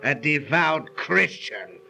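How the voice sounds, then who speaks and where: An actor playing a vengeful, servile cult henchman with deliberate, archaic speech in 1930s radio drama style. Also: a little high, big pitch swings, creaky, sharp tone, heavily acted. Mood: eager, conniving.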